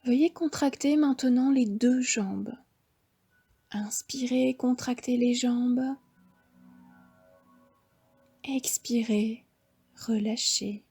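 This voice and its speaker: A woman with a French accent leads a yoga nidra session, speaking very slowly and softly. Her voice is fairly high, mellow and breathy, often dropping into half-whispered breathing cues. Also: soft background music. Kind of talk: guided relaxation instruction.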